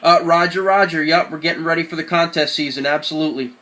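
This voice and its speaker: A man's voice: on the high side, quick pace, sharp tone. A man chatting casually and enthusiastically as an amateur radio operator during a radio contact.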